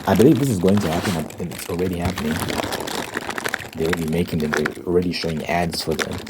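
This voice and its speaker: Male ASMR host, very low register, rambling read-aloud with commentary, loud clicky, crinkly background noise. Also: quick pace. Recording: noisy, with clicking, typing and crinkling sounds.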